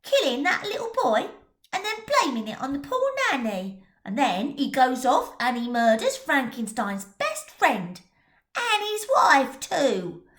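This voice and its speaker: A woman voicing a cheeky young boy from the docks in a storybook reading, blunt and excitable in rough street slang. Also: sits high, measured pace, voice pushed up, full character voice. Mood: indignant.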